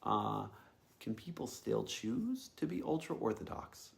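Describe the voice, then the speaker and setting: Creaky-voiced man musing aloud. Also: on the low side, sing-song, takes it slowly. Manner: musing, tentative, thinking aloud. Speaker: podcast host. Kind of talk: reflective personal monologue to listeners.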